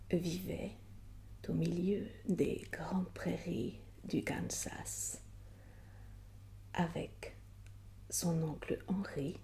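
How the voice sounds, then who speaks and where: A woman whispering in an ASMR roleplay as a gentle, indulgent adult reading a child a bedtime story, soft, unhurried and tender. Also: lowish voice, exaggerated voice. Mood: calm storytelling. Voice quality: whispered, breathy with creaky edges.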